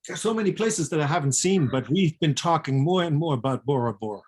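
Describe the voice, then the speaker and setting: A man's voice: fairly high pitch, expressive, quick pace, darkish tone, breathy edge. A man chatting casually and candidly as a co-author in a personal conversation, with a self-deprecating manner. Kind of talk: casual unscripted chat.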